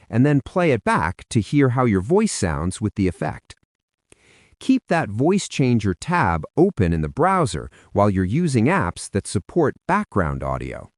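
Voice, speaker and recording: A man's voice: medium pitch, dry recording, studio quality. A man narrating a scripted how-to guide in an instructional, step-by-step way with informal asides. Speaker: tutorial narrator.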